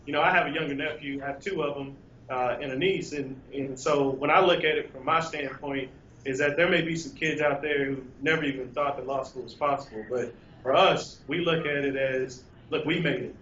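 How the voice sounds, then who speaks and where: A man's voice: mid-range voice, fairly even intonation, fairly quick, a bit bright, reverberant, lo-fi sound, a little hiss or hum. A man in his thirties, a recent law graduate, speaking earnestly and reflectively in an interview. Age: 30s. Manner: earnest, reflective, hesitant restarts. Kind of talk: casual interview.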